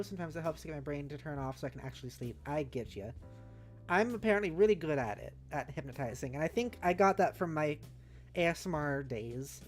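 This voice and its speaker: Low-pitched woman, casual livestream chatter. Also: a little mellow. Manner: playful, informal, thinks aloud in fragments. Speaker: livestreamer host. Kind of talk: casual livestream brainstorming, reading chat aloud. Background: background music.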